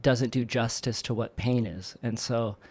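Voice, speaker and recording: Man, podcast guest, mid-pitched voice, earnest, halting, restarts often. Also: steady tone, darkish tone. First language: English. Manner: earnest, searching for words, many restarts. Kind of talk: casual chat.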